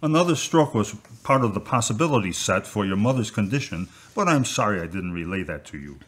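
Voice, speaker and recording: A man acting a sober, apologetic hospital doctor in a radio drama, with a husky voice. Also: lowish voice, animated, a little fast.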